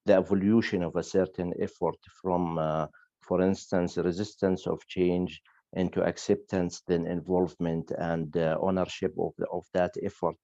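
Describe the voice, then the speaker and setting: A man explaining a point as a panelist in measured, formal wording. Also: low voice, flat delivery, dark tone, dry recording. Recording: dry, slightly dull call audio.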